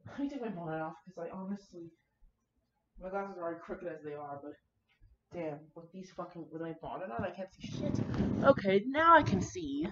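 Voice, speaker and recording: A woman's voice: lowish voice, expressive, fairly quick, fairly bright tone, slight background noise. A woman rambling casually with dramatic asides, brash, slangy and full of swearing as she comments on fan fiction. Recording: dull lo-fi microphone.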